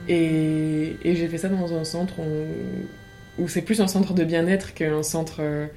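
A woman's voice: low voice, steady tone, slow, warm tone. A young woman casually sharing her experience as a patient in conversation, with guitar music behind her. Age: young adult. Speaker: patient giving testimony.

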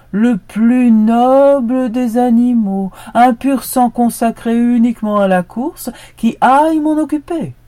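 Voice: medium pitch, mellow, pitched above the performer's own voice. A storyteller voicing a proud thoroughbred horse who refuses with contempt. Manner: whinnying, lofty and contemptuous. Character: noble racehorse, vain and disdainful.

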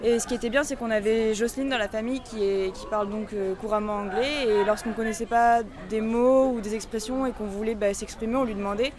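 A woman's voice: on the high side, steady tone, fairly quick, hardly any pauses, crowd noise. A teenage girl, a student, telling about her stay in a chatty way with fillers, on a ferry deck. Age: teens.

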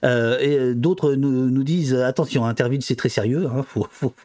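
A man's voice: medium pitch, normal speed, darkish tone. Hesitant male livestream host. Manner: hesitant, many fillers and restarts. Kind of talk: casual panel discussion.

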